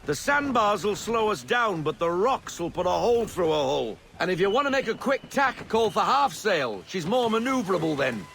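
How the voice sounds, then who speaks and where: A man's voice: high-pitched, very animated, normal speed, vocal fry, few pauses, a bit bright, slight background noise, exaggerated voice. An actor playing a hearty older sea captain in a video game, rousing and salty as he mentors a young sailor. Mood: instructive. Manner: rousing, salty nautical talk.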